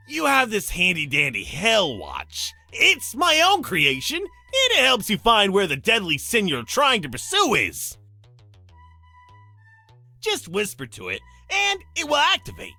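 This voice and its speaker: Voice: mid-range voice, wildly swooping pitch, slow pace, bright, forceful tone, no room sound, soft background music, full character voice. An actor voicing a flamboyant, cocky demon guide from hell in a visual novel, with a showy, self-satisfied delivery. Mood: smug, playful. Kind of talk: theatrical visual novel character acting.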